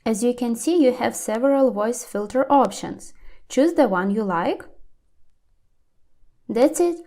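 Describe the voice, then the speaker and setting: A woman's voice: fairly high pitch, expressive, a little slow, warm tone. A woman hosting a how-to tutorial, reading scripted instructions step by step. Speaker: tutorial host.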